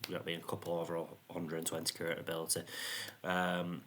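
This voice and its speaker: Man, monotone, deep, flat delivery, rambling casual game commentary. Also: quick pace. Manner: rambling, frequent ums and "you know what I mean". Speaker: gaming YouTuber.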